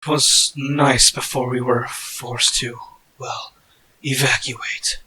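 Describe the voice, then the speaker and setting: A man's voice: mid-range voice, level tone, slow, a little hiss or hum, rough recording. A man voicing a stubborn, idealistic wounded knight leader in a dramatic roleplay, earnest and solemn. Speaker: voice actor. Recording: loud background music.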